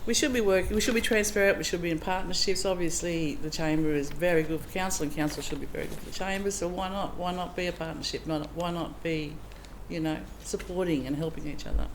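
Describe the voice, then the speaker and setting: A woman's voice: on the low side, steady tone, fast, few pauses, poor audio. A middle-aged woman speaks as a council candidate at a public meeting. Her delivery is plain and chatty, with plenty of ums. A faint hiss runs under her voice. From Australia. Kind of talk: candidate speech at a public forum.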